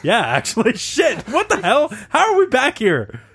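A man hosting a casual quiz game with friends, laughing often and talking in an animated, playful way. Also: very high-pitched, normal speed, vocal fry, husky. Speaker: quiz host. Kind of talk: casual podcast banter.

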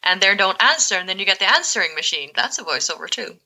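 Woman, voice actor and coach; very bright tone, chatty, laughs easily. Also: mid-range voice, lively intonation, fast, creaky. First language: Finnish and English. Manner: chatty, laughs often, restarts sentences. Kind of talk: casual interview answers.

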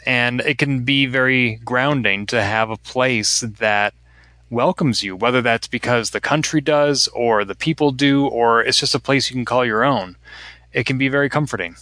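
A man interviewing a guest in a casual conversation, asking questions in a measured, sympathetic way. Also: medium pitch, pushed and bright, no room sound.

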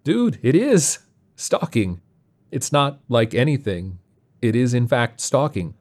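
A man voicing an anxious, excitable young man who blurts incredulous questions and stammers. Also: lowish voice, moderate pace, close to an everyday voice. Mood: flustered, accusing.

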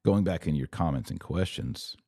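Low mellow guy doing religious podcast commentary, teachy and emphatic, replying to comments. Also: fairly quick, creaky, husky, dry recording, studio quality. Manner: emphatic, didactic, with rhetorical questions. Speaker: podcast host. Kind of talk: religious commentary answering live viewer comments.

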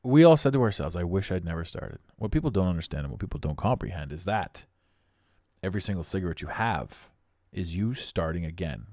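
Podcast host, raspy middle-aged man, deep and blunt, dead-dry sound. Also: lively intonation, brisk, a little mellow, no echo. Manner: blunt, preachy, emphatic. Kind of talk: motivational monologue.

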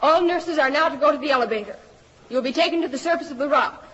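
An actress playing a commanding military announcer giving crisp, authoritative orders in a vintage radio drama. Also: female voice, high voice, quick pace, a bit bright. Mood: commanding, urgent. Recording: muffled, echoing hall, old radio.

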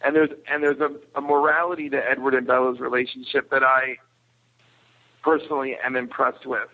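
Over a thin phone-like connection, a man with a gravelly, creaky voice speaks at a middle pitch. He is a podcast guest chatting casually, often rephrasing himself as he goes. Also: little pitch movement, a little fast. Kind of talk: casual discussion. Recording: narrow, tinny phone-like line.

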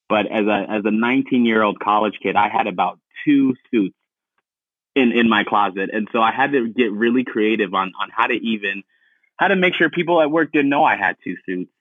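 A young man from Ghana, a podcast guest, telling his story in relaxed casual talk with many fillers. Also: medium pitch, no echo. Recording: dry tinny phone-like line.

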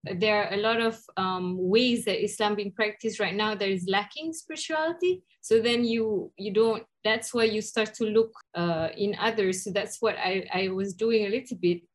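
A woman's voice: medium pitch, normal speed, few pauses. A woman from Malaysia, a podcast guest speaking candidly and reflectively about her life. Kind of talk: conversational interview storytelling.

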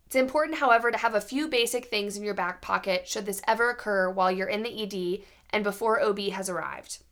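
A young woman with a hoarse voice from a cold, hosting and explaining a medical teaching podcast. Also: medium pitch, fast, a bit bright. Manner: clear explanatory delivery. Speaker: podcast host.

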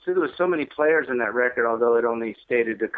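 A man's voice: medium pitch, fast talker, no echo. A man recalls his band's early days in a loose, rambling manner, full of ums and uhs. His voice comes through a tinny phone line. The sound is dry and close. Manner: rambling, lots of fillers. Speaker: interview guest, musician. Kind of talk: casual interview.

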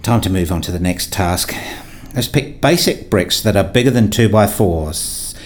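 A man acting as a wounded, self-justifying father in a radio play, speaking in an introspective, deliberate way. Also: deep voice, expressive, slight background noise. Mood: subdued, focused. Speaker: narrator and lead actor in a radio play. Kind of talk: radio play narration and dialogue.

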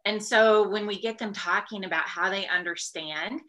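Bright-voiced woman explaining as a guest. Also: mid-range voice, moderate pace, low-quality recording. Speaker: podcast guest, educator. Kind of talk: conversational interview.

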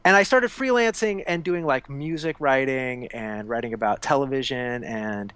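A man's voice: mid-range voice, normal speed, a bit bright, smooth, no room sound. A man in his forties, a games journalist guest, chatting casually and rambling through stories with lots of restarts and self-corrections. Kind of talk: casual podcast chat.

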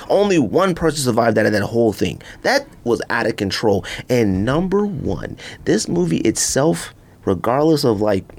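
An American man hosting a podcast, chatting casually through a countdown in an animated, exclamatory, slangy way. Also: on the high side. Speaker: podcast host.

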